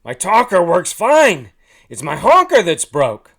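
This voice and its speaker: A man plays an excitable talking goose from a rhyming storybook. He has a New Jersey accent and pushes his voice loud and high. Every line comes out emphatic, exasperated and indignant, with big swings in pitch. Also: measured pace, vocal fry, exaggerated voice. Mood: anguished.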